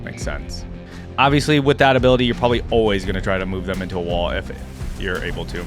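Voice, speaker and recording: A man's voice: low-pitched, fast talker, over music. A man giving casual reaction commentary on a video game, conversational and thinking aloud as he reads and adds asides. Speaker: YouTube reaction host.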